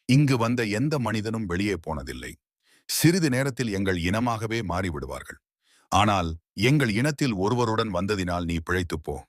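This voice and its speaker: Deep gruff cat boss for a cartoon, a guy doing it, bossy, pitch swinging around. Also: sing-song, normal speed, no room sound, studio quality. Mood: stern. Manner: gruff, commanding. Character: stern deep-voiced male cat chief.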